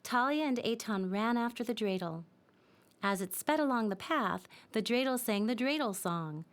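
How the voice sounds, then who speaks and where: A woman reads a children's storybook as narrator. Her voice is on the high side and very animated, rising and falling through simple, expressive sentences. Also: normal speed.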